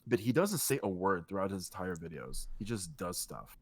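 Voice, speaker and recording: A man co-hosting a casual podcast, talking in a relaxed, rambling way with a soothing voice and casual swearing. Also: sits low, normal speed, no echo. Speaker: podcast co-host and streamer. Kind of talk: casual chat.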